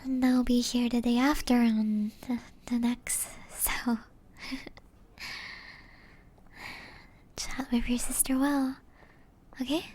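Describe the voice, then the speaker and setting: A young woman playing a tender, remorseful ex-girlfriend in an ASMR roleplay, whispering softly and intimately with breathy hesitation. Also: a little high, flat delivery, a little slow. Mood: affectionate, reluctant.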